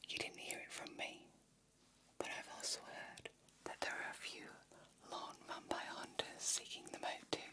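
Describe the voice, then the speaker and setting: A woman in her thirties playing a gossipy, catty vampire hairdresser in an ASMR roleplay, whispering in a breathy, confiding way close to the mic. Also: natural voice. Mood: hushed, conspiratorial. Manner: slow, hushed, confiding. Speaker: ASMR performer. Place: small decorated room, indoors. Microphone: close ASMR microphone.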